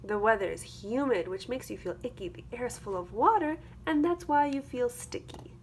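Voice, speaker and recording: A woman reading a children's picture book aloud as a teacher, with expressive, rhythmic delivery. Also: on the high side, moderate pace. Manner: rhythmic, expressive delivery of rhyming verse with explanatory asides. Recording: clear background noise.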